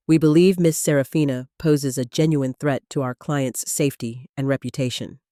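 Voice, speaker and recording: A woman's voice: rumbling low, mellow, no room sound, clean studio sound. A woman acting out an assertive, accusing courtroom attorney in a narrated story.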